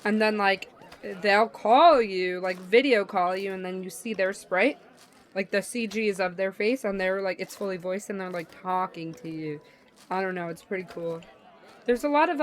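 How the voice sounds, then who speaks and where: Young woman, game streamer, medium pitch, casual slangy chat, expressive delivery. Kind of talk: casual chat while reading a visual novel.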